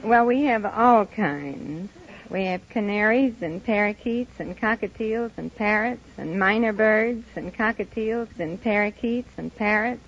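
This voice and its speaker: A woman speaks slowly at a middle pitch as a quiz guest. Her answers are matter-of-fact and conversational. The old radio recording is dull, with slight background noise. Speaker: quiz contestant, bird doctor. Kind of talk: unscripted quiz show interview.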